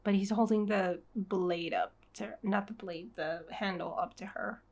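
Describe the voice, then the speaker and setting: A woman's voice: medium pitch, steady tone, a little slow, darkish tone. A woman giving a casual read-along reaction as host, with informal, wry, sarcastic commentary.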